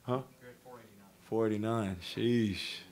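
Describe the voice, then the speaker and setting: A man's voice: on the low side, fairly even intonation, slow pace, a little mellow. A young man, a college basketball player, answering reporters at a press conference in a laid-back, easygoing way. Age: young adult.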